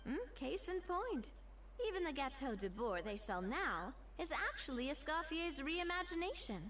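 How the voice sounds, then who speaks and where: High, animated, teasing young woman. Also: normal speed, faint music behind. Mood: playful. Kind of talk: video game voice acting.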